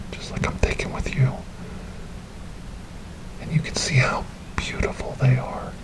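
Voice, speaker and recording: A man performs a devout, tender-hearted male rescuer for an ASMR story. His voice is soft and breathy, often slipping into a whisper, with a dark, mellow tone. He takes his time, very slowly and soothingly reassuring the listener. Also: lowish voice, rough recording. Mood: tender, reverent. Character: gentle, devout male rescuer coaxing a lost ghost girl.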